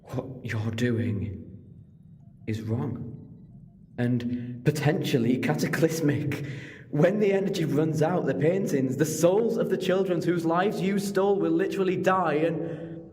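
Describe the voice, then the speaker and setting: A man's voice: on the high side, darkish tone, a little hiss or hum, natural voice. A man playing a clever, chatty alien time traveller in an audio drama, explaining things conversationally with moral earnestness. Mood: earnest, indignant. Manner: expository, conversational, quick to digress. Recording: long reverberant hall.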